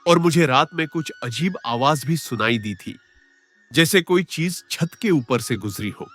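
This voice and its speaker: Male actor as anxious farmer neighbour, highish voice with lively swings, earnest story acting over music. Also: measured pace. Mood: worried. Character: worried, earnest village farmer, a concerned neighbour. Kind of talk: dramatized moral story acting.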